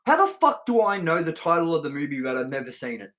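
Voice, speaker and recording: A man's voice: fairly high pitch, very animated, quick pace, fairly bright tone. A middle-aged man co-hosting a casual, sweary film review, speaking in an emphatic, opinionated way. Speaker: co-host of a film review show.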